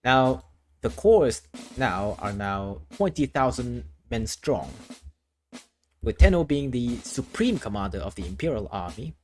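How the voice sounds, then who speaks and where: A man's voice: mid-range voice, a little mellow. A man from Thailand, a streamer explaining his worldbuilding lore in a casual, explanatory way, often restarting phrases.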